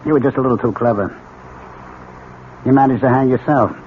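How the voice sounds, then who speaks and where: An actor playing an assured, plain-spoken, paternal police lieutenant in a 1970s radio drama. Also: male voice, mid-range voice, a little fast, close to an everyday voice. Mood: assured, triumphant. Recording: dull, noisy old radio recording.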